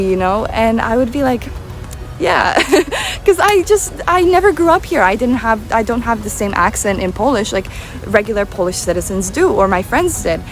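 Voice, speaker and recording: A young woman from the United States talks straight to camera in a relaxed vlog. Her delivery is chatty and loose, with a lot of fillers and restarts. A faint background noise stays under her voice. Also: mid-range voice. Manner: chatty, leans on fillers like definitely and um. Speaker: vlogger. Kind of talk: casual talking-head vlog.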